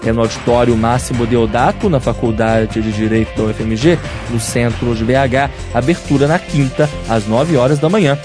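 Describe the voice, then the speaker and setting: A man presenting a radio news bulletin, reading a script clearly and steadily. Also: medium pitch, moderate pace, hardly any pauses, over music.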